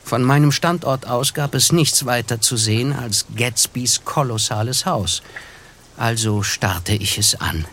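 An actor playing a reflective first-person narrator, reading literary prose in a measured, wry way for a German radio drama. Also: male voice, mid-range voice, a bit bright, a little hiss or hum, close to an everyday voice. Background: music under the voice.

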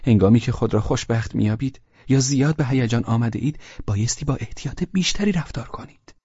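A man's voice: mid-range voice, slightly rough, no echo, pitched above the performer's own voice, lightly acted. A man putting on a worried kindly lady. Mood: concerned. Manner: soft, raised, partly whispered warnings. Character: worried kindly middle-aged lady, cautioning gently. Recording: dry, slightly dull recording.

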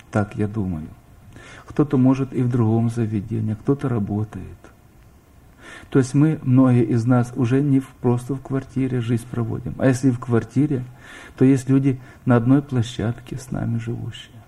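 A man's voice: mid-range voice, fairly even intonation, moderate pace, dark tone, slightly rough. A middle-aged man preaching a sermon, speaking straight to his listeners and asking them questions. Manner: addresses listeners directly with questions, rising emphasis. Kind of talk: sermon to a congregation.

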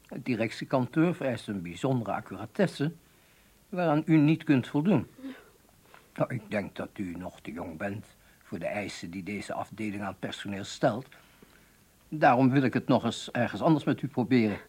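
Husky, condescending male personnel manager. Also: fairly low pitch, lively intonation, normal speed, a little mellow. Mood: stern, patronising. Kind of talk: radio drama acting.

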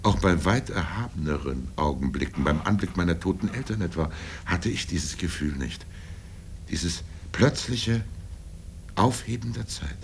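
An older man reading literary first-person prose in a grave, deliberate manner with dramatic pauses. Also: lively intonation. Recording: low rumble and background noise.

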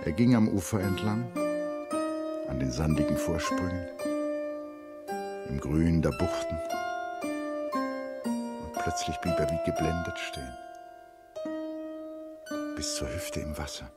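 Deep-voiced man narrating a radio play. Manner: measured short phrases, expressive storytelling. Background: prominent music.

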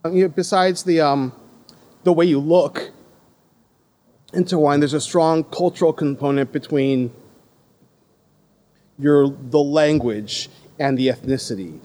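American man, thirties, fairly high voice, laughs often, casual storytelling with restarts. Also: measured pace, pauses often, warm tone. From Los Angeles, USA. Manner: laughing, self-deprecating, many restarts.

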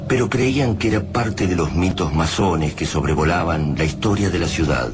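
A man with a very low voice and a Rioplatense accent narrates a radio drama gravely and deliberately. Loud music plays under his words. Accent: Rioplatense Spanish.

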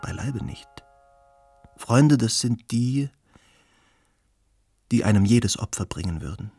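An actor playing an eloquent, worldly and uncanny gentleman in a radio play, speaking formally and musingly in a husky voice. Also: medium pitch, lively intonation, slow pace, no room sound, soft background music, full character voice. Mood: earnest, reflective.

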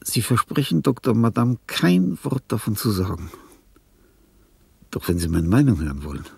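Husky, dying old artist. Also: male voice, lowish voice, expressive, moderate pace, a little mellow, dry recording, full character voice. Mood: agitated, elated. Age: older. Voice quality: husky, creaky. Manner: theatrical, brooding, slips into whispering. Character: sick, weary old artist, dying, morbid and fussy. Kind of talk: radio drama monologue.

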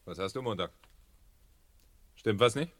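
Sharp, forceful fire captain. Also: male voice, on the low side, animated, measured pace, reverberant. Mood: mocking. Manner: commanding, mocking. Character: domineering, sardonic fire brigade captain. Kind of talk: 1970 German radio drama acting.

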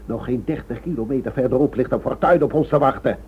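A man's voice: mid-range voice, fast, husky. A man playing a greedy, impatient gangster in a radio play, brusque and dismissive as he orders henchmen about. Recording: dull lo-fi old radio drama recording.

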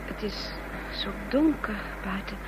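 A woman's voice: medium pitch, animated, measured pace, close to an everyday voice. An actress playing a frightened, weary young woman in a radio drama, breathless, anxious and pleading. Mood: tired, uneasy. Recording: noisy, humming old radio recording.

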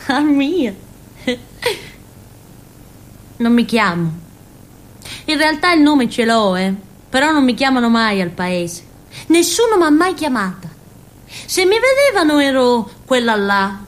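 An actress playing a naive, giggly village outcast woman with a Calabrian accent, in a halting, repetitive theatrical monologue. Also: fairly high pitch, sing-song. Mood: naive, wistful. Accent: southern Italian, Calabrian.

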